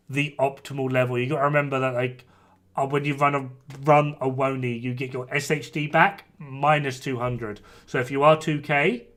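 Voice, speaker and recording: A man in his thirties talks casually as he streams a PC game. His voice sits at an average pitch. He is informal and blunt, speaking loosely to fellow players and swearing freely. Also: fairly bright tone. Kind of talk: casual gameplay chat with other players. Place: indoors at a gaming PC.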